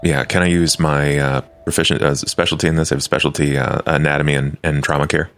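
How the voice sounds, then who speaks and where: A man's voice: a bass voice, level tone, fast, a bit bright. A man playing a tabletop role-playing game, talking conversationally as he asks questions and proposes plans.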